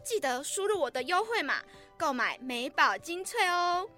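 A woman playing a vain eighteen-year-old beauty livestreamer in a radio drama, cutesy and drawn-out in manner. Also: extremely high pitch, very animated, bright, forceful tone, soft background music, full character voice. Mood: sugary, showy. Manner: cutesy, drawn-out, refers to herself by name.

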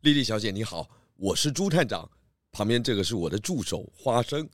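A man playing a dependable, slightly weary pig detective in a children's audio drama, calm and businesslike. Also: lowish voice, a little fast, no room sound, clean studio sound.

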